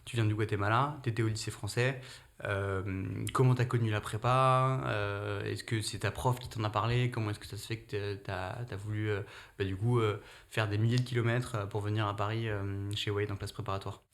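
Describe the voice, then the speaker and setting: Male interviewer, low gravelly voice, loose hesitant questions. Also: fairly even intonation, fairly quick. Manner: informal, hesitant, many fillers. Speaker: interviewer for the prep school.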